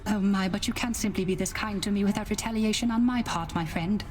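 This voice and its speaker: Actress as gushing, gracious underworld friend. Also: female voice, medium pitch, brisk, faint music behind, lightly acted. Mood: delighted, grateful. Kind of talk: video game voice acting.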